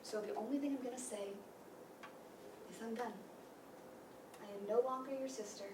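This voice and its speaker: An actress playing a hurt, bitter, fed-up sister in a staged play reading. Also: female voice, on the high side, moderate pace, natural voice. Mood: hurt, resolute. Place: meeting room staged reading, distant camera. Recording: echoey room from far off, noisy.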